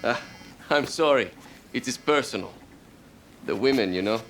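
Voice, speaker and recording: An actor playing a warm, sly Russian fighter pilot with a Russian accent, talking in halting short phrases. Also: male voice, medium pitch, lively intonation, a little hiss or hum, natural voice. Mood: warm, confiding.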